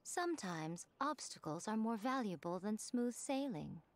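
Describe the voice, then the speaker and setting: A woman's voice: mid-range voice, lively intonation, a little slow, no echo, close to an everyday voice. A woman voicing a poised, sly female chief editor in a video game, silky, self-assured and playfully condescending. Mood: smug.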